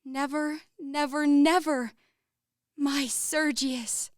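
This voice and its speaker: A woman's voice: high voice, fairly even intonation, drawn-out words, slight background noise. A woman acting in a radio play as a proud, romantic young lady of a wealthy house, lofty and theatrical. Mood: rapturous. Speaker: actor in a student radio play.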